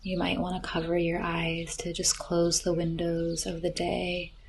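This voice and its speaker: Woman, meditation guide, soothing and breathy, very even narrow intonation, unhurried gentle delivery, soft birdsong in the background. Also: fairly low pitch, slight background noise. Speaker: yoga nidra meditation guide. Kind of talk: slow guided relaxation meditation. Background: chirping birds, nature ambience.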